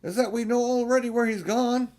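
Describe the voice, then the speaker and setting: A man's voice: very high voice, steady tone, fairly quick, a little mellow, pitched above the performer's own voice. A man voicing a grave, worldly-wise male beaver from a storybook, speaking in an emphatic, warning way. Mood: urgent, grim. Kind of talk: storybook character voice in an audiobook.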